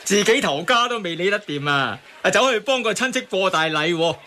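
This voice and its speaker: A man's voice: high voice, expressive, brisk, sharp tone. An actor playing a hot-tempered, griping taxi driver and family man in a radio drama, with a Hong Kong Cantonese accent. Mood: annoyed, griping. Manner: griping, exclamatory. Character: grumbling male taxi driver, hot-tempered husband and father.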